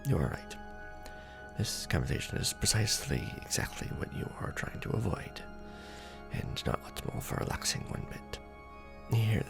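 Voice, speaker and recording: Man acting a very deep, gravelly, courtly vampire lord. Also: heavily acted. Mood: soothing, protective. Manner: formal, archaic wording, slow and hushed. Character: courtly, protective, brooding male vampire lord. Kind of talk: ASMR romantic roleplay, soft close speaking.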